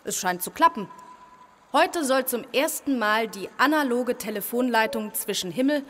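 A woman's voice: fairly high pitch, expressive, moderate pace, a bit bright. An actress playing a strict female official chairing heaven's assembly in a radio play, formal and declamatory. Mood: solemn, official. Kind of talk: German radio play acting. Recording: long hall reverb, faint noise.

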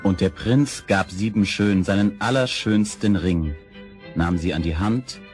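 A man narrating a children's fairy tale as storyteller, with expressive, scripted storybook delivery. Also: low-pitched, a little slow, soft background music.

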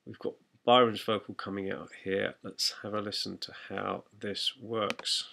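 A man talking as a music production tutor, giving an instructional, step-by-step walkthrough of software. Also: fairly low pitch, little pitch movement, measured pace, vocal fry.

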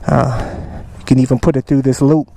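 A man's voice: mid-range voice, steady tone, moderate pace, creaky, dark tone, no room sound. A man reviewing a motorcycle backpack outdoors in a casual, enthusiastic, salesy way, tossing in slang like "man" and "baby" and plenty of fillers. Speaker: motovlogger reviewing gear. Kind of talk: casual moto vlog review. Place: outdoors in a parking lot beside his motorcycle.

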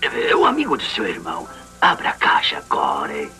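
A man voicing a sly, sinister smoke creature in a dubbed cartoon, coaxing and sneering with a gravelly voice. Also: extremely low pitch, wildly swooping pitch, moderate pace, sharp tone, exaggerated voice. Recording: old cartoon soundtrack with music.

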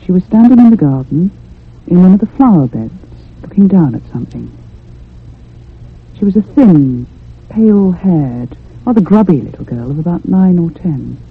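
Radio drama lead: convalescent lady storyteller, breathy-husky, animated melody, curious and easily unsettled, thin telephone-band sound. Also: on the low side, exaggerated voice. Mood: reflective, measured. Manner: literary, measured storytelling. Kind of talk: radio drama narration and dialogue. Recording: muffled, phone-like old radio sound.

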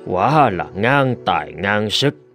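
An actor playing a calm, wise old abbot in a cartoon folk tale, speaking in a measured, teacherly manner. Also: male voice, on the low side, exaggerated voice. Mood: thoughtful. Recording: music under the voice.

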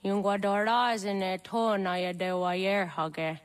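Actress as slow, solemn old village elder woman. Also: medium pitch, fairly even intonation, clear, resonant tone, close to an everyday voice. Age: older. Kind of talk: dramatic video game dialogue.

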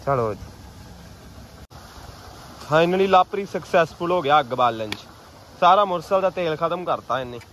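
Young man vlogging a village cook-up, teasing banter, voice a bit high, faint outdoor hum. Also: animated, a little slow, pauses often, a bit bright, low-quality recording. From Punjab. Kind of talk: casual chat while cooking outdoors. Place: outdoors by a farm tubewell, village fields.

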